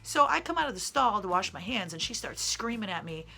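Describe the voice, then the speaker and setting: A man's voice: sits high, sing-song, fairly quick, vocal fry, pushed and bright. A transgender man, telling personal stories to camera in a candid, digressive way with many fillers. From Midwestern United States. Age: 30s. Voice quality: feminine-sounding voice. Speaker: singer and vlogger. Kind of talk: casual personal storytelling to camera.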